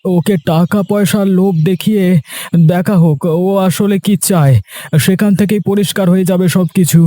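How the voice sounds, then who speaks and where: Man acting a high-pitched earnest village helper. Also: steady tone, moderate pace, mellow, no room sound, lightly acted. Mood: urgent, warning. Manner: earnest, grave, deferential. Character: loyal, earnest village man warning his master. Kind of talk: dubbed cartoon dialogue. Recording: music under the voice.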